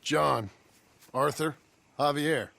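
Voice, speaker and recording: An actor playing a persuasive, wary outlaw gang leader in a video game, measured and folksy. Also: male voice, on the high side, gravelly, pushed and bright, full character voice. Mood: wary, dealing. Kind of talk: video game cutscene acting.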